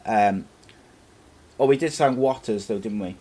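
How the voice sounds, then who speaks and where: A man's voice: fairly low pitch, unhurried, over a noisy crowd. A man hosting a casual football chat show, laid-back and chatty, reading out viewer questions. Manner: laid-back, chatty, calls co-host mate. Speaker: show host.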